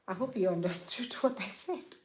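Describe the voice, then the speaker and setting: A woman's voice: mid-range voice, normal speed, a little hiss or hum. A middle-aged woman with a Nigerian accent, chatting casually to viewers as she does her daughter's hair at home, laughing often. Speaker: hair care vlogger and mother. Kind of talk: casual tutorial chat to viewers.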